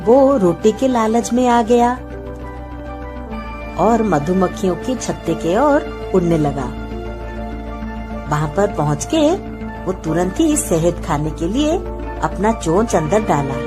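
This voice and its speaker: Female narrator for children's stories, mid-pitched and very expressive, light music behind. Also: slow pace, long pauses. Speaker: storyteller. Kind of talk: scripted children's story narration.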